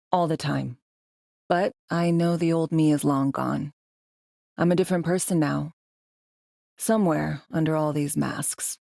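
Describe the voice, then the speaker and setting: Game role: seasoned woman operative, slightly low pitch, brisk and wry, casually profane, natural delivery, dry studio sound. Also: vocal fry, dark tone, no echo. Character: brisk, sardonic, foul-mouthed veteran female secret agent. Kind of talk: video game dialogue, natural conversational acting.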